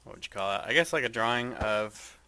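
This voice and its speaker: A man giving a casual, hesitant walkthrough of his game base, speaking in a flat monotone with frequent ums. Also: medium pitch, brisk, slight background noise. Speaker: gaming video creator.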